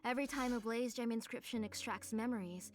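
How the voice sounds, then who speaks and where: A woman voicing a gentle, devoted but ailing mother in a video game, speaking earnestly and with measure over music. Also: fairly high pitch, fairly quick, lightly acted. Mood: earnest, resolved.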